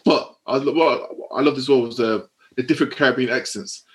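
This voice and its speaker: Fast-talking man, rambling. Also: medium pitch. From West London. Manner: rapid, rambling, lots of "you know". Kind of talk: casual group chat.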